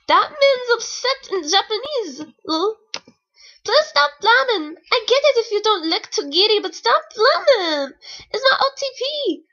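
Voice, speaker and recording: An extremely high, squeaky girlish voice, playing an easily upset young fan-fiction writer. She whines and protests with over-dramatic indignation in a mocking read-aloud. The recording is dull and lo-fi. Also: fairly bright tone, exaggerated voice. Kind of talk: mocking dramatic reading of an author's note.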